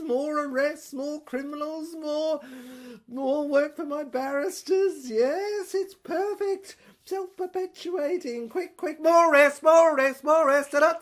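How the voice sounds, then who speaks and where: Woman acting a theatrical, power-mad scheming brother, high-pitched. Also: measured pace. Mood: manic, gleeful. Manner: theatrical, manic rambling, swinging from shouting to muttering. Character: ranting, scheming, power-mad brother, a corrupt crown prosecutor. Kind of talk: audio drama monologue.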